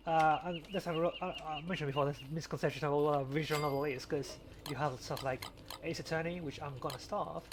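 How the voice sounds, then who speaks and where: Male podcast host, slightly high voice, casual and rambling, stumbles over words, clear background noise. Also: steady tone, quick pace. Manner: rambling, stammering, repeats words. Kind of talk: casual chat over gameplay.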